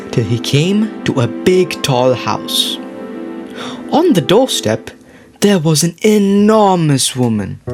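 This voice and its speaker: Male storyteller, children's story narration, animated intonation, music behind. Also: medium pitch.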